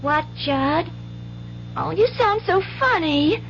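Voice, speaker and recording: A woman's voice: very high-pitched, animated, takes it slowly, full character voice. An actress playing an earnest, trusting country schoolgirl with folksy, wide-eyed speech in a radio drama. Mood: curious, uneasy. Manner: folksy rural grammar, eager and wide-eyed. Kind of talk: 1970s radio drama acting. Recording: dull, hissy old radio broadcast.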